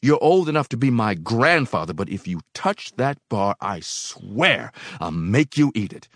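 A tense younger working man, played by a male audiobook narrator, with his voice raised well above an ordinary talking pitch. He is urgent and bewildered, ready to turn defiant, in a dramatic storytelling style. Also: big pitch swings, moderate pace, dry recording, clean studio sound. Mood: angry, defiant. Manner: urgent, stammering, crying out.

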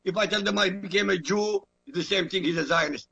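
A man from Iraq whose first language is Arabic, arguing forcefully as a guest and repeating phrases for emphasis. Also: high-pitched, fast talker, creaky, breathy edge, lo-fi sound. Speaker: guest commentator. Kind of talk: emphatic talk-radio argument.

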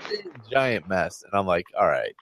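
A man's voice: sits low, wildly swooping pitch, normal speed, a bit bright, a little hiss or hum. A man on a podcast panel chatting casually and informally as he recounts his experiences. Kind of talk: casual chat, retelling gameplay anecdotes.

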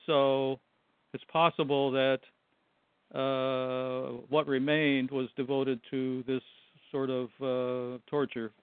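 Halting older man on a tinny phone. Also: mid-range voice, little pitch movement, clean tone, dry recording. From Buffalo, New York. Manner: halting, soft-spoken, short answers. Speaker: guest, retired Army translator. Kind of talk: call-in interview.

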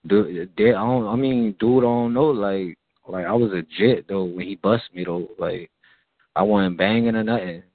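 Man, from Miami, low voice, casual rambling chat, phone-like audio. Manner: rambling, halting, profane storytelling. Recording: phone-like band-limited audio.

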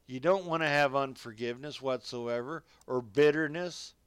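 A man's voice: medium pitch, moderate pace, clear, resonant tone, no echo. A man preaching a radio sermon, reading scripture and exhorting listeners directly in folksy, colloquial phrasing.

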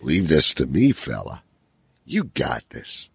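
A man's voice: rumbling low, wildly swooping pitch, takes it slowly, creaky, breathy edge, no echo, voice pushed down, exaggerated voice. A man playing a scheming, hesitant buddy who cajoles his friend in a comedic audio drama. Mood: pleading.

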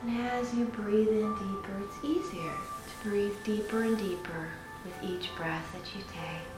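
Soothing woman meditation guide, very echoey. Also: mid-range voice. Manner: soothing, unhurried, pausing between phrases. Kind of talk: guided meditation, slow instruction. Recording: very echoey room with music. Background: meditation music.

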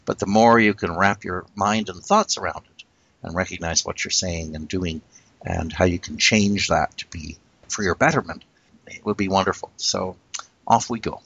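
A man co-hosting a casual discussion, talking in an earnest, reflective way and slowing down to stress his points. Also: deep voice, fairly bright tone, breathy edge, muffled recording. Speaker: podcast co-host.

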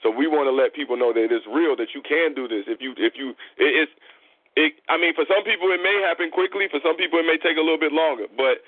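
A man's voice: a little high, fast, no room sound. A man hosting a call-in show, talking earnestly and explaining things with many repetitions. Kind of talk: casual spiritual chat. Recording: dry tinny phone line.

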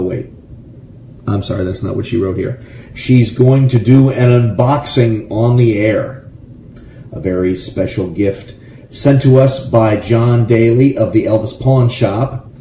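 A man's voice: lowish voice, dark tone. An American man from Florida hosting a casual radio show, reading trivia and adding rambling, jokey asides. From Gainesville, Florida. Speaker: radio show host and musician. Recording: roomy, echoey, faint background noise.

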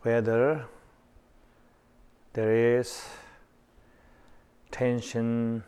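Casting: male meditation guide, smooth resonant voice, even tone, spaced phrasing. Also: medium pitch. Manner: slow, spaced phrases with pauses.

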